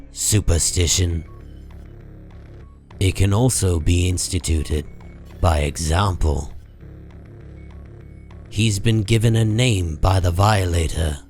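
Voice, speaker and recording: A man narrating a comic book story in a grave, measured, dramatic style. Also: low voice, a little mellow. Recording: music under the voice.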